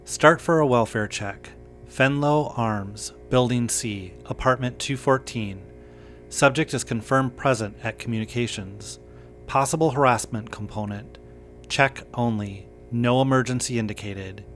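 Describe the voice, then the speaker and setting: A man's voice: mid-range voice, measured pace, fairly bright tone, faint music behind, lightly acted. A man reading the part of a businesslike female police dispatch supervisor, giving clipped procedural commands in an audio story. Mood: clipped, firm.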